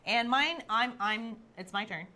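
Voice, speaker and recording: Bright-voiced woman chatting as a show host. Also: fairly high pitch, measured pace. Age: 50s. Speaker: co-host of a local TV chat show. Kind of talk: casual, chatty talk show conversation. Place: TV talk show set.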